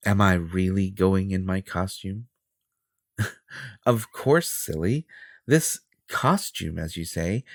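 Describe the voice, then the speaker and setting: A man's voice: low-pitched, no echo, clean studio sound. A man playing a vain, possessive superhero in an audio roleplay, theatrical and self-important, with a flirtatious streak. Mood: smooth, flirtatious.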